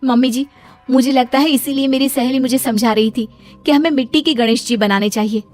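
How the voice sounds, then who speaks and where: A woman acts as a young cartoon daughter-in-law, earnest and chatty. Her voice is high, with music playing faintly behind it. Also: steady tone, brisk, darkish tone, lightly acted. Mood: concerned. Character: young devout daughter-in-law, dutiful but reluctant worker.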